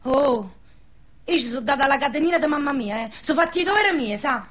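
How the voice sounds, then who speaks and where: A woman's voice: high voice, lively intonation, fairly quick, fairly bright tone, slight background noise. A woman playing a naive, bashful village girl in a wartime radio drama, with a southern Lazio dialect accent and a hesitant, defensive way of talking. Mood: insistent, defensive. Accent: central Italian, southern Lazio dialect. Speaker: radio play actress.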